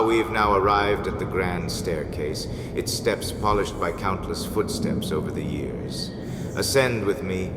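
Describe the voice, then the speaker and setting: Casting: male actor as mysterious haunted-house host, low voice, formal theatrical delivery, heard in a long reverberant hall. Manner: formal, ornate, dramatic. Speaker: host and storyteller. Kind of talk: theatrical gothic storytelling, scripted. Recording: echoey hall reverb with background noise.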